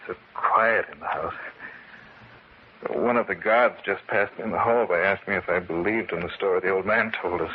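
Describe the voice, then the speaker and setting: A man's voice: low-pitched, normal speed, husky. An actor playing a nervous, earnest man in an old-time radio horror drama. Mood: uneasy. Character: nervous, frightened male friend investigating a dark house. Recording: noisy, muffled old radio recording.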